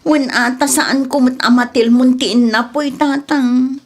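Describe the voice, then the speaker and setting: Long-suffering young woman, acted by a woman, high voice, Ilocano accent, plaintive, audio drama. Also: fairly even intonation, unhurried. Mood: defensive. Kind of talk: amateur audio drama acting.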